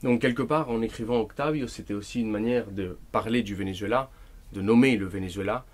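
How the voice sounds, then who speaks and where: Low-voiced man, thoughtful author talk. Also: darkish tone. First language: Spanish. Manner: thoughtful, restarts often, slow and deliberate. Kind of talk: bookshop talk, reading and answering. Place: bookshop event.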